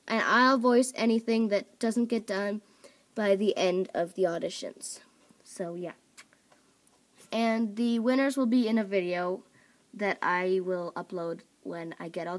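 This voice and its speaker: A young woman casually introducing her characters at home, talking in a rambling way with lots of fillers. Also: medium pitch. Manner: rambling, filler-heavy, scolds her dog off-mic. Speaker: video creator. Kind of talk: casual chat. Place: home room at a table of toys.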